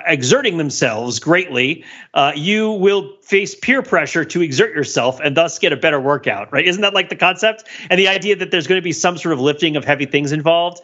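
A man's voice: fairly high pitch, fairly bright tone, dull audio. A man co-hosting a casual podcast discussion, rambling and interrupting himself as he thinks aloud. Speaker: podcast host.

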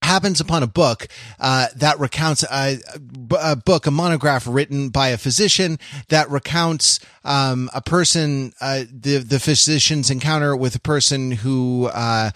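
Man rambling haltingly, dry close sound. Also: medium pitch, fairly bright tone, no echo. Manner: rambling, halting, repeats words mid-sentence. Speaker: podcast host. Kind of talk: casual podcast discussion.

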